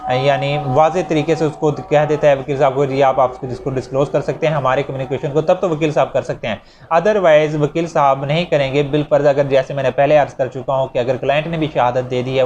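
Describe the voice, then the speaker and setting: A man with a Pakistani accent gives an explanatory law lecture, reading out clauses and then repeating and rephrasing them in a didactic way. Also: on the high side. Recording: clearly noisy recording with ambient sound.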